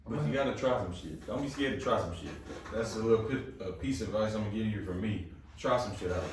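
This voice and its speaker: A man giving relaxed, plain-spoken advice as a podcast co-host. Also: fairly low pitch, quick pace, recorded from a distance, noisy background. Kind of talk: casual chat, giving advice. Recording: echoey room, off mic.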